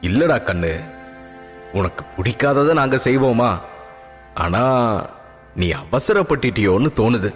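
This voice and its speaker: Man voicing a soft-spoken father, calm, warm tone, light music behind. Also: medium pitch, measured pace, long pauses, long reverb tail, close to an everyday voice. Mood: soothing. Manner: calm, soothing. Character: calm gentle middle-aged king father.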